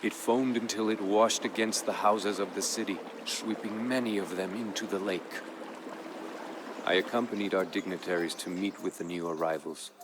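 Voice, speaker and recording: A man playing a proud, grave Aztec jaguar warrior who solemnly recounts his people's fall as a strategy game's campaign narrator, in a measured, dramatic way. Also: on the low side, fairly even intonation, noisy background, lightly acted. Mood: solemn storytelling. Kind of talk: solemn scripted storytelling narration for a strategy game.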